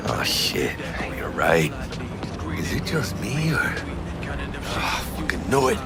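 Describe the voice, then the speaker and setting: Loud music sits behind a low, hugely animated voice: a brash, big-hearted Mexican-American mercenary in a video game. He talks in street slang sprinkled with Spanish. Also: slow pace, vocal fry, full character voice. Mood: brash, chatty. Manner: slangy, peppering speech with Spanish. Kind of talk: cinematic video game acting.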